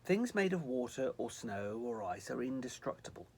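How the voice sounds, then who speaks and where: A man's voice: medium pitch, sing-song, normal speed, darkish tone, slightly rough. A man voicing a young male snow angel, fretful, earnest and a little pompous.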